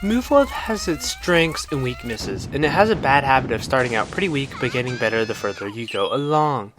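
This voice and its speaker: A man's voice: medium pitch, expressive, brisk, noisy background. A man narrating a scripted video game review as the reviewer.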